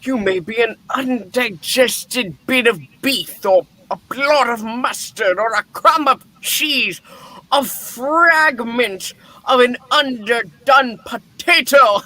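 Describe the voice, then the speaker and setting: Old miser for radio play, male reader: grumpy, spooked, blustering, very slow and drawn-out. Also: sits high, sharp tone, heavily acted, low-quality recording. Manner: stammering, blustering, exclaiming. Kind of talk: live play reading, hammy radio-play acting.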